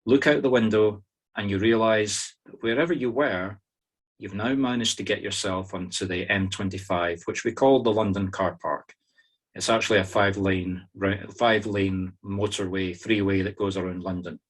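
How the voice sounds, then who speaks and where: A Scottish-accented man guides a group through a meditation in a fairly low voice. He gives simple, informal instructions one step at a time. His delivery is conversational, with wry, humorous asides. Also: steady tone, moderate pace.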